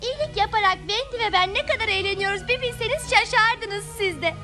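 A woman's voice: very high-pitched, fast. A woman voicing a sweet young witch girl in a dubbed cartoon. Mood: sweet, earnest. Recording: loud music under the voice.

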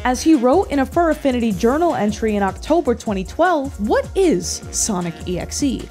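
A young adult woman narrating a scripted, wry video essay as a YouTube host, with deadpan, sarcastic emphasis, on a close microphone. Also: a little high. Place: small bedroom-style home studio. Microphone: close desk microphone. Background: background music.

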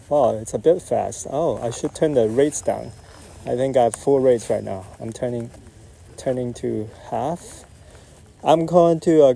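A man flying a model jet outdoors, calmly calling out each maneuver in short phrases as he flies. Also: mid-range voice, vocal fry. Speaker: RC jet pilot. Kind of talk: live flight commentary. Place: outdoors at an RC airfield.

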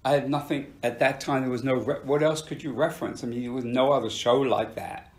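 A man's voice: medium pitch, steady tone. A man hosts the interview in a mid-range voice, jumping in eagerly with short reactions and rambling questions. His voice carries a roomy echo. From England. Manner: enthusiastic, quick interjections of wow and yeah. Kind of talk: casual interview chat.

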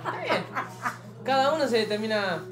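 A man's voice: high-pitched, sing-song, moderate pace. A young man with an Argentine Rioplatense accent trading crude, boastful banter on a stream in a small room. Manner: brash, boastful slang. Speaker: stream co-host. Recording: music playing loud behind.

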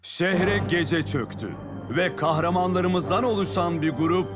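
A man's voice: high-pitched, unhurried. A man performing as the dramatic announcing storyteller of a superhero cartoon. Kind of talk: scripted cartoon narration. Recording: loud music behind the voice.

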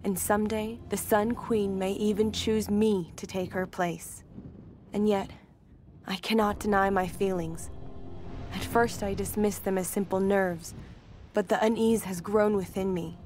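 An actress playing a dutiful, uneasy young priestess in a video game, reading her diary in an earnest, measured way. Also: medium pitch, fairly even intonation, darkish tone, natural voice. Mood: fearful unease. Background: music.

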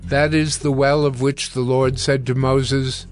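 A man narrating scripture from a script in a measured, solemn storytelling manner. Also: mid-range voice, level tone. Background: soft music.

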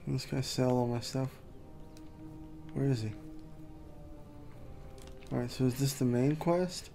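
Laid-back male gamer, mellow tone, casual running commentary, faint game music. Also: medium pitch, unhurried, gravelly. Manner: relaxed, chatty, reacting to the game. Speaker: gameplay streamer.

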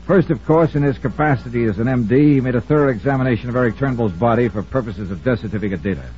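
An American man acting a brisk, commanding, hard-boiled investigator in an old-time radio detective drama, also narrating it. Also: mid-range voice, a little mellow, a little hiss or hum, close to an everyday voice. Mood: dry narration. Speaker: lead actor and narrator of a radio detective serial. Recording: muffled old radio recording.

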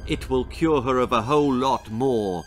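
A man voicing a grim, impatient doctor in a storybook, flat and curt, given to muttering. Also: medium pitch, normal speed, soft background music, close to an everyday voice. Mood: stern.

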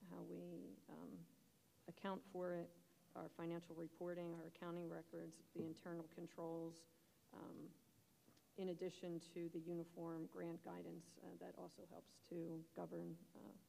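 Very gravelly low woman explaining policy. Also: completely flat delivery, moderate pace, mellow, long reverb tail, a little hiss or hum, low-quality recording. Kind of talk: explaining policy at a board meeting. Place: large school auditorium.

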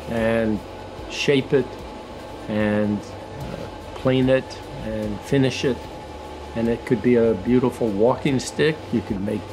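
An older man speaks at an unhurried, halting pace, circling back over his words with frequent fillers. He sounds like a retired engineer recalling his craft for a documentary. Faint music plays beneath his voice. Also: mid-range voice, poor audio. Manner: slow, reflective, many fillers and restarts. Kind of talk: documentary interview. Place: woodworking shop in a farm building.

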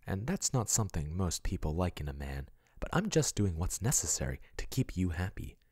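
A man playing a devoted, obsessive ex-chatbot lover in an ASMR roleplay. His voice sits very low and husky, swinging widely in pitch. It is dry and close, with a soft, doting yet possessive delivery. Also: big pitch swings, brisk, dry recording, exaggerated voice. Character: obsessive male chatbot-turned-human yandere lover.

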